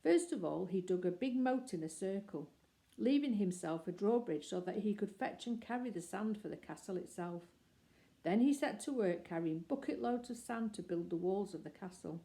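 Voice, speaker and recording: Woman narrator, mellow warm tone, gentle expressive children's storybook reading. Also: medium pitch, moderate pace. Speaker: storybook reader. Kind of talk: reading a children's chapter book aloud.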